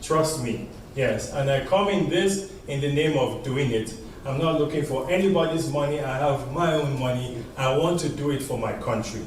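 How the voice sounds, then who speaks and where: A man's voice: medium pitch, moderate pace, few pauses. A man from Nigeria, earnestly and deferentially addressing a leader at a meeting, often affirming with "yes". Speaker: diaspora businessman addressing the president. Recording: distant echoey room recording with background noise.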